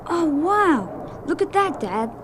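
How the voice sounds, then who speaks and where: A woman's voice: extremely high pitch, big pitch swings, slow pace, noisy background, full character voice. A woman voicing an eager, wide-eyed young boy in a 1970s radio drama. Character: eager young boy Tommy, pilot's son.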